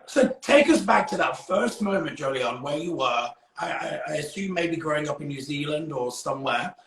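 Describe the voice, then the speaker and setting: A male interview host speaks in a gravelly voice pitched a little high. He is effusive and casual as he runs a live online show, and the room around him rings with clear echo. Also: animated, moderate pace, hardly any pauses, a bit bright. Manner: effusive, exclaiming. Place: indoors, live social media stream.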